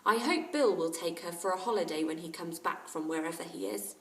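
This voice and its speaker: A woman voicing a brisk, plain-spoken, touchy schoolboy in a storybook. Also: on the low side, a bit bright, lightly acted. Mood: matter-of-fact. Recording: roomy echo, distant microphone.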